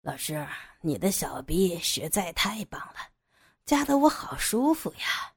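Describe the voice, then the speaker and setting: A woman voicing a forceful, gloating adult man in a lowered, breathy voice. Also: animated, measured pace. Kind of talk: audio drama reading.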